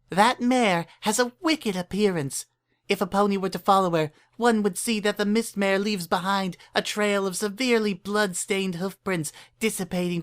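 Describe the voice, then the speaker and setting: A high, girlish cartoon filly, played by a woman spins a spooky tale with theatrical relish. She is a bossy young pony who wants to be heard out. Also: close to an everyday voice.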